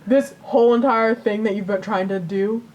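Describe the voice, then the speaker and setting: A woman's voice: moderate pace, muffled recording. A woman co-hosting a podcast in casual banter, irreverent and joking with loose phrasing. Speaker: podcast co-host. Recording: steady background noise with low rumble.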